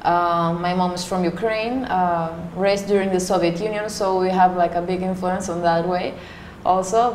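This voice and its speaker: A young woman from Panama speaks in a low, even voice that stays fairly flat. She talks through her answers at an easy pace, often stopping for fillers. The room is echoey, with a faint hiss behind her. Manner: thoughtful, pausing often with fillers. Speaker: interview guest. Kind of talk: casual interview answers.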